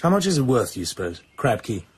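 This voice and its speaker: An actor in a radio drama playing a cool, commanding British secret agent who asks dry, controlled questions. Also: male voice, medium pitch, sing-song, fast talker, darkish tone, breathy edge, no room sound. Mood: calm, dry. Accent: British English.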